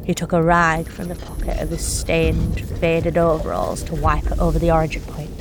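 A woman's voice: sits low, normal speed, music in the background. An actress playing a nervous young delivery driver, telling her story in a tense, confessional first-person monologue with blunt swearing. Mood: uneasy. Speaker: narrating actor.

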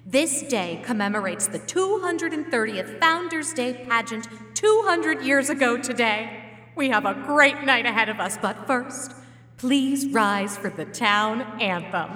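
An actress playing a prim, instructive small-town head librarian who theatrically hosts a children's pageant in an audio drama. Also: female voice, fairly high pitch, lively intonation, a little slow, sharp tone. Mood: cheerful, formal. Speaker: pageant host. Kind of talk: staged audio drama, public announcement and storytelling. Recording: echoey hall, long reverb, faint music.

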